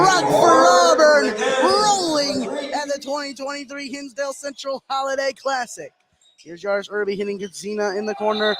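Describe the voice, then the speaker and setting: An American man does live basketball play-by-play for radio in a fairly high voice. He gets excitable on big plays. The broadcast carries a clearly noisy gym ambience behind him. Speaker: sports radio play-by-play announcer. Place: indoor high school basketball game.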